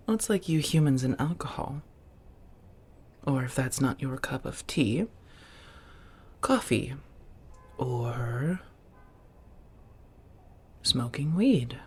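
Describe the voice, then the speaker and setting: A woman as a slow, breathy, teasing vampire. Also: low-pitched, heavily acted. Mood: wry. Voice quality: soft, breathy, often near-whispered. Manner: unhurried, formal phrasing with coy pet names. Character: teasing, affectionate, refined female vampire roommate. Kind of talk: soft-spoken ASMR roleplay.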